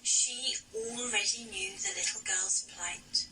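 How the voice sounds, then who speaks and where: Very bright, animated woman telling fairy tales. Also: on the high side, slow pace, recorded from a distance, faint music behind, rough recording. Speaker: puppet show storyteller. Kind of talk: scripted fairy-tale narration for children.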